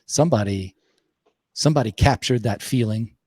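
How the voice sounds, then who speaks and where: A man with a Southern US accent hosting a podcast, talking reflectively and unhurriedly, with pauses. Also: medium pitch, darkish tone, no room sound, studio quality. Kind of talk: casual conversation.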